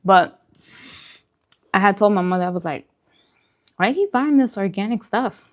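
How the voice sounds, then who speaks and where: A woman's voice: mid-range voice, expressive, normal speed, darkish tone. Casual woman chatting over food. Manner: informal, musing, conversational. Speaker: vegan food vlogger. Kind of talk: casual mukbang chat while eating.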